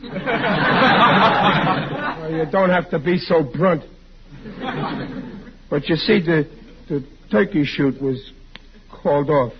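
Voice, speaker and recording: A man's voice: on the high side, slow pace, long pauses, a crowd in the background. An actor playing a bumbling, blustering American father in an old radio comedy, stammering and easily flustered. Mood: sheepish scheming. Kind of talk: 1940s radio comedy acting. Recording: muffled, hissy old radio recording.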